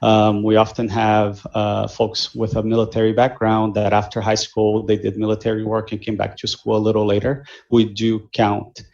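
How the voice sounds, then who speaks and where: A man from Brazil with a Brazilian Portuguese accent, an admissions director answering questions at a measured pace with many fillers over a video call. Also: on the low side, completely flat delivery, gravelly, darkish tone. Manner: measured, with frequent fillers and restarts. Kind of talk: answering questions in a virtual info session. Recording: muffled lo-fi video call audio.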